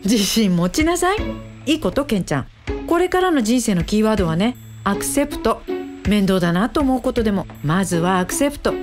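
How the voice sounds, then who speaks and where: An actress playing a bossy, upbeat, affectionate woman matchmaker in a radio drama, brisk and coaxing. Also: medium pitch, sing-song, over music, close to an everyday voice. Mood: bossy, encouraging.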